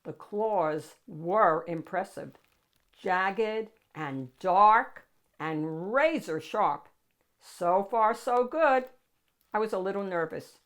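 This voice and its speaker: A woman reading a picture book aloud to children as host, in an animated, dramatic storytelling style. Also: medium pitch, slow.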